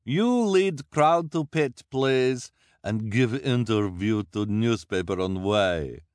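A man's voice: medium pitch, expressive, slow, a little mellow, no room sound, studio quality. A man voicing a woman fortune-teller who fakes an accent and broken English. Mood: urging.

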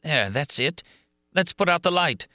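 A man's voice: a little high, lively intonation, measured pace, pushed and bright, no room sound, studio quality, faint music behind, pitched above the performer's own voice. Man doing a menacing jealous monster. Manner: angry, mocking.

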